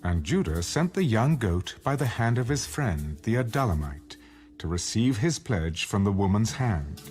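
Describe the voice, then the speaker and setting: Low, expressive male Bible narrator. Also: moderate pace. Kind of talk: dramatic scripted narration. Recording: music under the voice.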